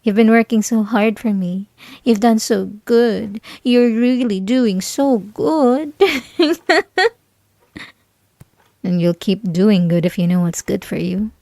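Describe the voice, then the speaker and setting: A young woman playing a mocking, possessive bully in an intimate ASMR roleplay, condescending and teasing, with breathy asides. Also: medium pitch, steady tone, unhurried, warm tone, no room sound, lightly acted. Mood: smug, teasing. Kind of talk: ASMR roleplay, intimate scripted monologue to listener.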